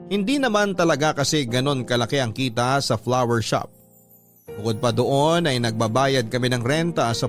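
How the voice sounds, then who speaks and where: A man's voice: mid-range voice, lightly acted. A man narrating a heartfelt letter as scripted radio drama, earnest and reflective, recalling humble days of hard work. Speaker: narrator reading a listener's letter.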